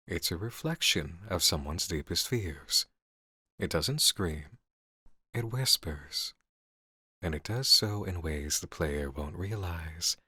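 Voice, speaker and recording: A man playing a calm, calculating game developer with measured, deliberate, articulate delivery in a scripted ASMR horror roleplay. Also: low-pitched, slightly rough, no room sound, clean studio sound, exaggerated voice. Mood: quietly sinister. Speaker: voice actor in an audio roleplay.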